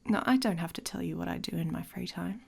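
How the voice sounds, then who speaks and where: Woman playing a husky, whispery, taunting she-wolf. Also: lowish voice, fast talker, darkish tone, heavily acted. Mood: defensive, irritated. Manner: teasing, sardonic, often dropping into whispers. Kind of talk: intimate ASMR roleplay.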